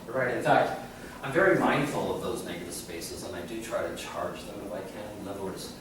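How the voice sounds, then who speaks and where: A middle-aged man giving an informal artist talk in a small gallery, chatty and animated, joking and restarting sentences. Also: low-pitched, brisk. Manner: chatty and animated, gestures a lot, jokes and restarts. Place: small art gallery, indoors. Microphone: far camera. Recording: echoey room from far off, noisy background.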